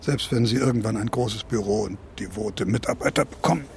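Radio drama: jaded senior professor, gravelly, pompous and grumbling, with faint noise behind. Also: male voice, on the low side, a little fast. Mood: resigned. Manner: pompous, world-weary, declamatory. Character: weary older male academic, cynical, grumbling mentor. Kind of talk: German radio play acting.

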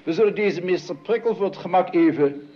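A man's voice: sits high, fairly quick, natural voice. An actor playing a flustered, officious male family doctor who stammers, hesitates and corrects himself in formal speech, in a radio comedy. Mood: officious, hesitant. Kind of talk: Dutch radio comedy drama acting. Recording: dull, noisy old radio recording.

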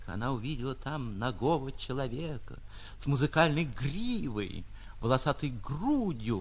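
A man, an actor reading a literary short story in an expressive, mock-grandiose theatrical style. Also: mid-range voice. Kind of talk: theatrical literary audiobook narration for radio.

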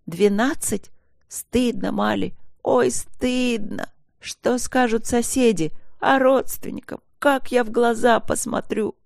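A woman's voice: mid-range voice, moderate pace, vocal fry, no echo, studio quality, pitched above the performer's own voice, natural voice. A woman voicing a furious, tearful middle-aged wife in an audiobook, wailing and exclaiming her accusations. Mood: tearful, accusing.

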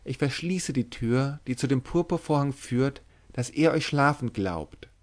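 A man's voice: medium pitch, measured pace, natural voice. A man reading a storybook, voicing a bold young witch-maiden who is sly, confiding and commanding. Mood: brisk, conspiratorial. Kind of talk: dramatic literary audiobook reading.

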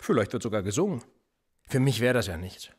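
A sly radio-drama cop questioning a suspect, husky and insinuating. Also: male voice, medium pitch, big pitch swings, a little fast. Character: menacing male police interrogator, probing and mocking. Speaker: police officer. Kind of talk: radio play acting.

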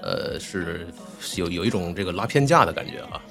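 A man's voice: low voice, animated, normal speed, vocal fry, fairly bright tone, soft background music. A man speaking casually as a voice actor on a discussion panel. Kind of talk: casual chat.

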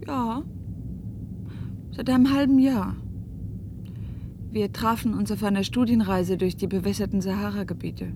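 An actress playing an earnest, tense woman fugitive in a German radio play, speaking emphatically and deliberately. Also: mid-range voice, steady tone, background noise, close to an everyday voice.

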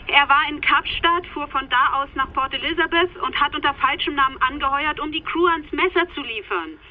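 A woman playing a keen investigator in a radio drama, briskly briefing a colleague. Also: high-pitched. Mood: revealing. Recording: slightly muffled radio link, faint noise.

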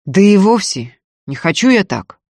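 A narrator playing a stolid, stubborn, gruff peasant man who speaks bluntly in an audiobook. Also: medium pitch, very animated, moderate pace, a little mellow, no room sound, voice pushed up. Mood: gloomy objection.

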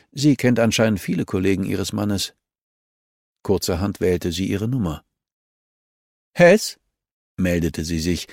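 A man narrates a crime novel in a fairly low voice. It is scripted audiobook reading, recorded very dry, with no room sound around the voice. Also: darkish tone, dry recording.

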